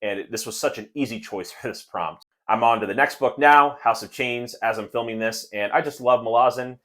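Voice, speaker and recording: A man's voice: medium pitch, a little fast, a bit bright. A man hosting a casual book chat for viewers, speaking in an enthusiastic, positive way. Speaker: book reviewer and video host.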